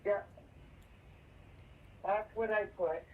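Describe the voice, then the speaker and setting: A woman's voice: medium pitch, fairly even intonation, extremely slow, distant mic, slight background noise. A woman talking casually on a phone call that is played back in a courtroom.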